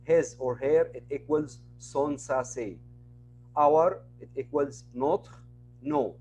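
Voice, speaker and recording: A male teacher leads an online class through a computer mic. His voice sits a little high, and he speaks at a very unhurried pace. He patiently repeats each word and example for his students. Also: steady tone, darkish tone, a little hiss or hum.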